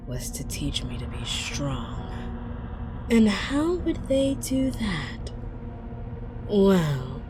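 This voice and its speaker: A woman playing a coldly patient, menacing interrogator from a warrior clan, speaking deliberately and with mock politeness, slipping into whispers in an ASMR drama. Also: extremely low pitch, exaggerated voice. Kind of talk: dramatic ASMR roleplay monologue.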